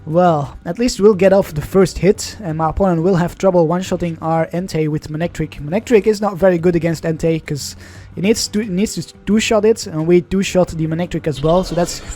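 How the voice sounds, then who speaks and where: A man's voice: sits high, brisk, hardly any pauses, dark tone, soft background music. A young man whose first language is Dutch, casually commenting on an online card game in a chatty, rambling way, often correcting himself. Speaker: gaming channel host.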